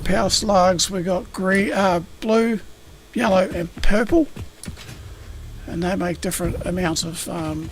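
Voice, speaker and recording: A man streaming a factory-building game, explaining it in a rambling way with a slightly croaky voice. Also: high-pitched. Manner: rambling, self-correcting, explanatory. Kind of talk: casual gameplay explanation. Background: game music.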